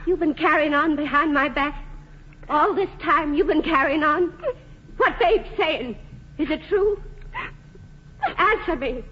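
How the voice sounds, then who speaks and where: An actress playing a plain-spoken, long-suffering working-class wife with folksy, earnest speech in a 1940s radio drama. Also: female voice, sits high, unhurried, pauses often, bright, forceful tone. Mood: shocked, furious. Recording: dull, noisy vintage radio recording.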